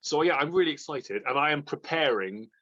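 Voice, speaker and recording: A man's voice: on the high side, lively intonation, brisk, sharp tone, lo-fi sound. A man in his thirties chatting casually and enthusiastically about books, joking at his own expense as he co-hosts. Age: 30s. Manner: enthusiastic, jokey, self-deprecating. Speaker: book vlogger and readalong co-host. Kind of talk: casual unscripted book chat.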